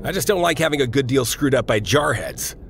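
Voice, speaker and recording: An actor playing a brash, grumbling mercenary team leader in a video game, casual and gruff in manner. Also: male voice, medium pitch, expressive, fairly quick, a little hiss or hum. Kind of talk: video game military acting.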